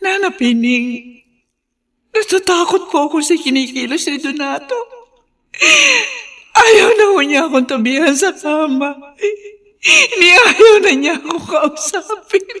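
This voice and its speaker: An actress playing an anxious, possessive wife with a Filipino accent in a radio drama. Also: female voice, sits high, lively intonation, a little slow, a bit bright, exaggerated voice.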